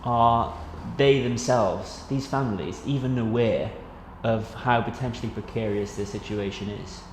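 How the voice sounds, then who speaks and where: A man asking gentle, probing interview questions. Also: on the low side, fairly even intonation, a little slow. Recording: echoey room with faint noise.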